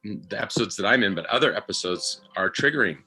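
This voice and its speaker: A man speaking haltingly and reflectively about himself in a podcast discussion, an actor on the panel. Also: on the low side, lively intonation, sharp tone, slightly rough, soft background music, poor audio. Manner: hesitant, halting.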